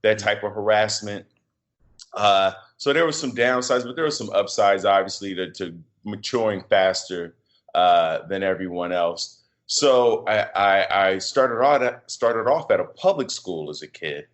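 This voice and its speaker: A middle-aged man from Chicago with a deep voice, a podcast guest talking in a reflective, halting way with many fillers and restarts. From Chicago, USA. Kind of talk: casual interview conversation.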